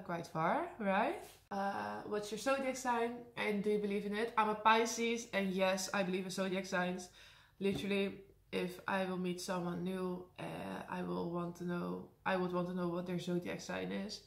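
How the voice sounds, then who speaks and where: A young Dutch woman with a high voice and a Dutch accent, chatting casually through a Q&A, laughing often and slipping in sarcastic asides. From Netherlands. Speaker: vlogger. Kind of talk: casual Q&A to camera. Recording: echoey room.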